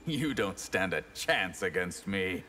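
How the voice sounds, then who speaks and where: An actor voicing a proud, humorless water mage in a video game, with a formal, menacing manner. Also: male voice, low-pitched, sing-song, slow, sharp tone, a little hiss or hum, full character voice. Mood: haughty menace. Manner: grave, formal, haughty. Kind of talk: video game fight-intro banter.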